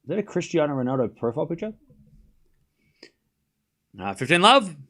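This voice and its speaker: A man hosts a live tennis watchalong in a fairly high, bright, forceful voice. His pitch leaps and falls in a very lively way. He calls the shots in short, clipped, excitable phrases, then slips into casual chat with his viewers. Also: normal speed. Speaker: livestream host and commentator.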